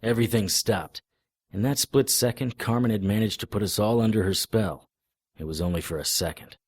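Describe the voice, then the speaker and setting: A man's voice: lowish voice, fairly quick, darkish tone, dry recording, studio quality, close to an everyday voice. A man playing a tough, sardonic private investigator in a noir radio drama, both narrating and speaking in scenes. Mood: grim narration. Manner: hard-boiled, sardonic. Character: tough, defiant, wry male private investigator. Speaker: lead actor and narrator.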